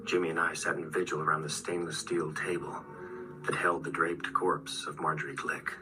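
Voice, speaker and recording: A man's voice: rumbling low, little pitch movement, moderate pace. An actor playing an earnest, haunted writer who tells his grim tale in a horror radio drama, faltering when afraid. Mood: grave, haunted. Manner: grave narration, faltering when frightened. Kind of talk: dramatic first-person radio narration and acting. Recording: distant radio drama sound, loud music behind.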